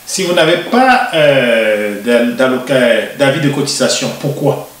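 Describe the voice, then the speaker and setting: A man hosting a TV interview show, speaking in a measured way with frequent hesitations. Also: mid-range voice, lively intonation. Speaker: TV show host. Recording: roomy echo, distant mic.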